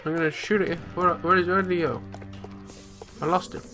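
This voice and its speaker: A man's voice: high voice, fairly even intonation, fairly quick, bright, forceful tone, faint music behind. A man giving casual, improvised video game commentary, jokey and sweary, with bits of playful baby-talk. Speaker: let's play host.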